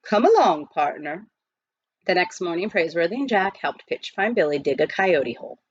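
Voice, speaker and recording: Expressive woman reading a storybook. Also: on the low side, a little fast, husky, no echo, lo-fi sound. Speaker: audiobook reader. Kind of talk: expressive scripted storybook narration.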